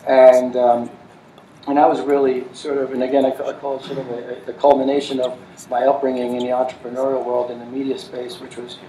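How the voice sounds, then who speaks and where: Panel guy, flat mellow voice, keeps restarting, echoey far-off hall. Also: medium pitch, slight background noise. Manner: halting, many restarts. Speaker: foundation founder on a panel. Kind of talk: panel talk.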